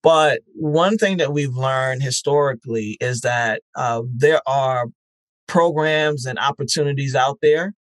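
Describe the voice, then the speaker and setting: A man who founded a festival answers interview questions in an earnest, measured way, with frequent fillers. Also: mid-range voice, no room sound.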